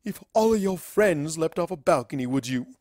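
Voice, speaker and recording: A woman voicing an imperious evil princess mare and stern mother in a fan fiction reading, pompous, slow and grandiose. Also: sits low, expressive, a little mellow, no echo, clean studio sound. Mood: stern, scolding.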